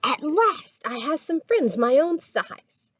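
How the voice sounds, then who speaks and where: Woman reading as a brave little boy dinosaur, high-voiced. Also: sing-song, takes it slowly, no echo, lo-fi sound, exaggerated voice. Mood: delighted. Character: tiny young male dinosaur, wondering and brave. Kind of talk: children's storybook read-aloud.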